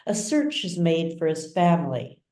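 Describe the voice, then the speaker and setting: A woman narrating a literary story as its author, with measured, dramatic delivery. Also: lowish voice, very animated, mellow. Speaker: author reading own novel. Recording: roomy echo, distant mic.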